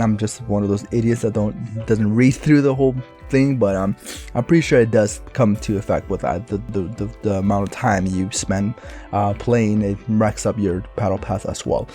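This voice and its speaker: A man with a slightly low, dark, mellow voice that sounds raspy as he recovers from being ill. He rambles through gameplay commentary in a laid-back way, full of "like" and "you know". Faint music plays behind him. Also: moderate pace. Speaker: gaming YouTuber.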